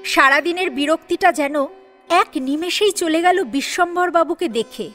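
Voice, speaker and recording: A woman with a distinctly high voice narrates a serial audio story. Her delivery is that of dramatic storytelling, and soft sitar music plays behind her. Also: moderate pace. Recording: music under the voice. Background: sitar instrumental music.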